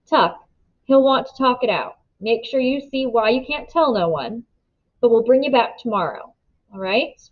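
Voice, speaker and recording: A woman's voice: on the high side, expressive, normal speed. Woman reader doing a weary kind country ma, folksy and plain spoken, storybook, bit dull audio. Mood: earnest, reassuring. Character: gentle, weary, practical country mother.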